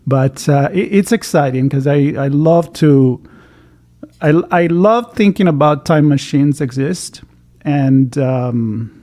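A man's voice: on the high side, takes it slowly, dark tone. A man hosting a podcast interview over a video call, talking in a rambling, chatty way with many restarts. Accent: Italian. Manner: rambling, with frequent restarts and fillers. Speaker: podcast host. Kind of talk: conversational interview.

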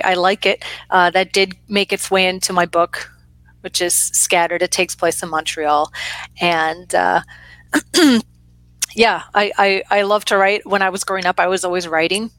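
A woman's voice: lowish voice, a bit bright, no room sound, poor audio. A woman in her thirties, an author, answering interview questions from her home library over a video call in an easygoing, friendly way. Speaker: author guest.

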